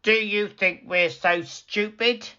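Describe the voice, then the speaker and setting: A woman's voice: medium pitch, steady tone, slow pace, pushed and bright, clear, resonant tone, dull audio, voice pushed up. A woman voicing sceptical, irritated adult villagers in a scolding, exclamatory storybook manner. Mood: indignant.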